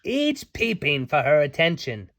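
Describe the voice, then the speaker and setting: A man's voice: on the high side, huge pitch swings, unhurried, gravelly, a bit bright, no echo, clean studio sound. A man reading aloud to children as their dad, with playful, folksy, chatty asides.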